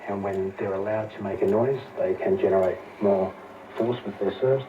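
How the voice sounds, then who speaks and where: A low-pitched man explains his subject conversationally as a radio interview guest. The sound is a dull playback from some distance, with clear noise over it. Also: moderate pace. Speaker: expert guest on a radio programme.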